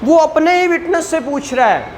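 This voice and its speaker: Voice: sits high, lively intonation, moderate pace, darkish tone, slight background noise. A law lecturer with a Pakistani accent explains in a conversational, didactic way, repeating points and asking rhetorical questions.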